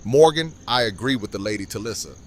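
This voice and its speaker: A man's voice: lowish voice, expressive, quick pace, slight background noise, close to an everyday voice. Male actor as noble king, stately, courtly diction, dignified conviction, audio drama, faint insect ambience. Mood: commanding.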